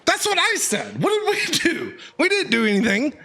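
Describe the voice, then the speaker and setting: A man giving casual, excitable live reaction commentary as a game streamer. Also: very high-pitched, normal speed, slight background noise. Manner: excitable, exclamatory.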